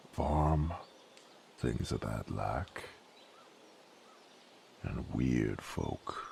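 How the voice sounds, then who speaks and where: A gruff, world-weary knight played by a male actor with an extremely deep, gravelly voice. He talks very slowly in rough, rustic, brooding phrases, as in an intimate audio drama. Faint but clear outdoor noise sits behind him. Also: heavily acted. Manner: rough rustic folksy speech, brooding, halting. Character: sadist knight, gruff former carnival drifter, weary and brooding. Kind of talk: dramatic roleplay audio monologue.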